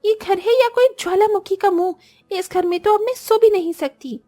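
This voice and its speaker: A woman voicing a poor, earnest young orphan sister in an expressive style for a cartoon moral story. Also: extremely high pitch, normal speed, soft background music. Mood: exasperated.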